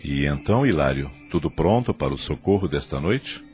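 An actor playing an earnest male spirit rescuer in a radio drama, formal, grave and gentle. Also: a bass voice, expressive, brisk. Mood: grave, hopeful. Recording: music under the voice.

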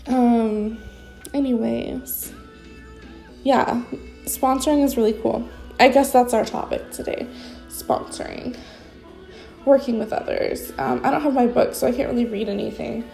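A woman's voice: a little high, steady tone, measured pace, vocal fry, long pauses, dark tone, soft background music. A young woman sponsoring others in recovery, talking off the cuff in a casual vlog. She sounds congested and blocked up, and rambles with many fillers. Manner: rambling, spontaneous, heavy with fillers. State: congested, stuffy nose. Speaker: recovery sponsor sharing experience. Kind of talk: casual unscripted vlog talk.

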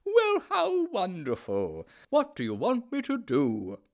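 A man's voice: high-pitched, huge pitch swings, takes it slowly, vocal fry, pitched above the performer's own voice, heavily acted. A man voicing a jovial, beaming old headmaster with a hearty, genial manner. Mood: delighted.

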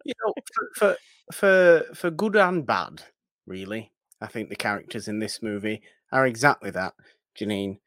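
A man's voice: fairly low pitch, expressive, moderate pace, fairly bright tone, no room sound. A man co-hosting a podcast in casual film discussion, often repeating his words for emphasis as he goes. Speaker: podcast co-host.

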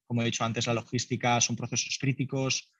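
A man's voice: medium pitch, level tone, fairly quick, fairly bright tone, no echo. A man, a startup founder answering interview questions in a loose, conversational way with lots of fillers. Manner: loose and conversational, many fillers, laughs lightly.

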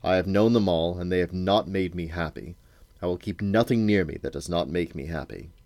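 A man voicing a grim, joyless old king in a storybook, speaking in a flat, curt, imperious manner. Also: sits low, brisk, no room sound.

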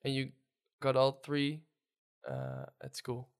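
A man's voice: mid-range voice, big pitch swings, takes it slowly, smooth. A man hosting an interview, asking short, curious follow-up questions in a casual tone. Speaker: host.

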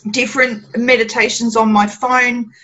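Chatty Australian woman, higher voice. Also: little pitch movement, normal speed, sharp tone, low-quality recording. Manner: chatty, laughs often, many ums. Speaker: podcast guest. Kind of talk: casual podcast interview.

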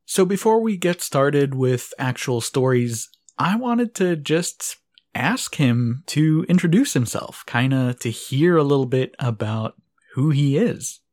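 A man's voice: animated, a little slow, a little mellow. Earnest man hosting a family podcast. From Israel. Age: 40s. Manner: earnest, heartfelt, jumps up in pitch on key words. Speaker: podcast host. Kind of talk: podcast introduction.

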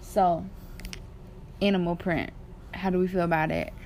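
A woman's voice: on the low side, fairly even intonation, moderate pace, darkish tone, slight background noise, poor audio. A woman hosting a casual conversation in an informal, slangy way. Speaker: podcast host. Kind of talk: casual chat about fashion.

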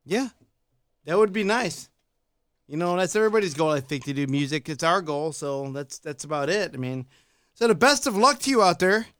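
A man's voice: on the high side, animated, moderate pace, a bit bright, no echo, studio quality. A man from Chicago with a Chicago accent, hosting a podcast interview in a laid-back, casual way, often repeating himself and laughing. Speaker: podcast host.

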